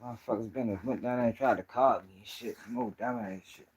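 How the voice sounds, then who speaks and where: A man's voice: mid-range voice, fairly even intonation, fairly quick, darkish tone, slight background noise. A man with a Baltimore accent hosting a casual livestream, talking to viewers in loose, profane street slang. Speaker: host of a commentary channel.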